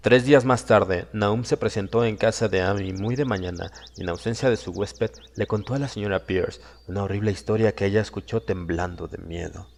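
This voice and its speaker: A man narrating a horror audiobook in a dramatic, solemn and suspenseful manner, with deliberate phrasing. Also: on the low side, vocal fry. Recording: long reverb, music behind. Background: music.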